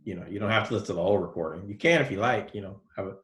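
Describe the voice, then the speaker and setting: A male college professor giving a relaxed, soft-spoken lecture online, with many fillers. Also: on the low side, rapid-fire, echoey room.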